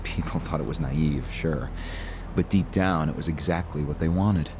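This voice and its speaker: An actor playing a smug, calculating political schemer who explains things at length in a video game cutscene. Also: male voice, extremely low pitch, normal speed, noisy background. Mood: cynical, boastful. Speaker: character in a crime drama video game.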